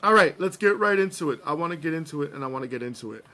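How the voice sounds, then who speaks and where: A man hosts a casual podcast in a slightly raised register. His voice is a little hoarse and scratchy, and his delivery is playful and jokey as he moves from banter into reading the news. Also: a little high, lively intonation, very fast. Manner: playful, joking, gives shout-outs. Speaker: podcast host.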